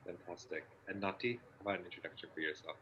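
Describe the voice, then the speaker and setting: A man's voice: sits low, fast talker, bright, forceful tone, breathy edge, dull audio. A man with an upbeat, enthusiastic manner, hosting an online panel discussion over a video call. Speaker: host, organization founder.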